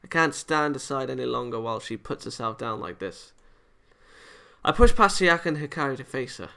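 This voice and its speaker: A man's voice: mid-range voice, expressive, a little fast, vocal fry, bright, forceful tone. A man hosting a visual novel let's play, reading aloud and breaking into rambling, emphatic, opinionated commentary. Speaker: gaming video host.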